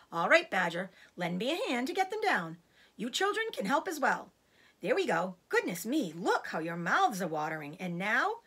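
A woman's voice: medium pitch, fairly bright tone, slightly rough. A woman voicing a cocky, gleeful, bossy male fox father in a storybook, with a showy, exclamatory delivery.

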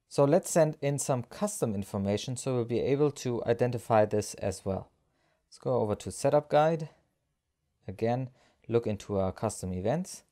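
A man narrating a step-by-step software screencast tutorial as an instructor, explaining each action as he goes. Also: mid-range voice.

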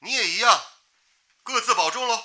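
A man's voice: extremely high pitch, normal speed, heavy background noise. An actor in a radio drama playing a plucky, breezy wartime radio man on a telephone call. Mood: cheerful.

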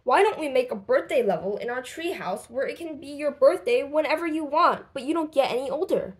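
An excitable storybook boy, voiced by a woman, naive and eager. The voice is high and swoops up and down with childlike wonder. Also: a little fast.

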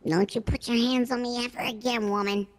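A woman's voice: on the high side, fairly even intonation, a little fast. A woman streamer roleplaying a brash, profane criminal, bickering and shrieking when angry. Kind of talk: in-character roleplay banter.

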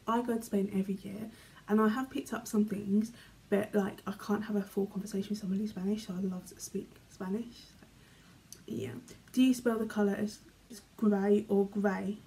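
A young British woman vlogging from her bedroom, reading questions aloud and answering in a chatty, rambling way, often trailing off quietly. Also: medium pitch, slight background noise, poor audio. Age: young adult. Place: small bedroom, indoors. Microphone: camera in front, medium framing.

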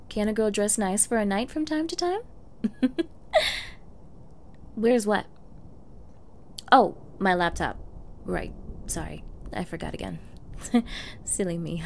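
A woman's voice: mid-range voice, darkish tone. A woman playing a flustered, clingy young yandere in an ASMR girlfriend roleplay, with a coy, stammering manner and feigned ditzy innocence. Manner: stammering, coy, eager, breathy asides. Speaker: roleplay voice performer. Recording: faint background noise.

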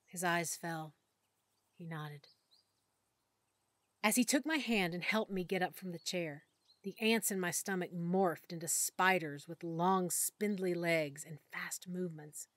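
A woman narrating a first-person audiobook story in a measured, emotional way that sometimes drops to a hush. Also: fairly low pitch, no room sound. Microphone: close studio mic.

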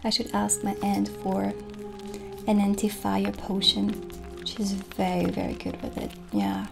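A woman in her thirties doing a gentle, soft-spoken ASMR roleplay as a witch shopkeeper, unhurried and often slipping close to a whisper, in a small room. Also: mid-range voice, level tone, gravelly. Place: small dim indoor room set as a candlelit shop. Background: original music.

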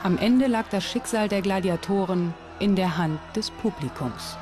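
A documentary narrator reading a scripted commentary in a measured, explanatory way. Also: medium pitch. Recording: music bed under narration. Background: music.

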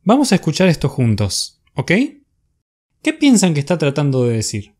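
A man's voice: a little high, wildly swooping pitch, moderate pace, darkish tone. A man with a Latin American accent acting as a theatrical, infatuated small-town radio host, confiding dramatically in his listeners. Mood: confiding, smitten. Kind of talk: radio drama monologue as a broadcast.

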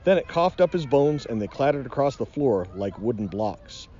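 A man's voice: medium pitch, very animated, moderate pace, warm tone, highs cut off. A middle-aged man reading a children's storybook aloud in a dramatic, mock-ominous way. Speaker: nature educator reading aloud.

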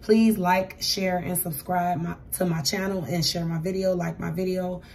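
A hoarse, raspy woman giving a casual tarot reading at a table, chatty and repeating "okay?" to her viewers. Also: fairly low pitch, steady tone, normal speed, a little mellow, far from the mic, slight background noise. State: hoarse, voice going for days. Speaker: tarot reader. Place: indoors at a table with cards and crystals. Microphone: camera across the table.

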